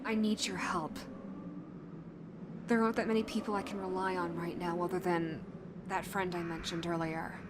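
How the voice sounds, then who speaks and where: A woman playing a determined, protective young fighter in a zombie outbreak audio roleplay, speaking earnestly and haltingly to the listener. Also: lowish voice, flat delivery, noisy background, natural voice. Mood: earnest, pleading.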